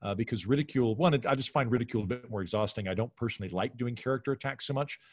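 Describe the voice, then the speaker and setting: A man's voice: medium pitch, rapid-fire, a little mellow, no echo. A middle-aged man speaking casually as a podcast guest, in a discursive, analytical way. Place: small furnished room at home, video call.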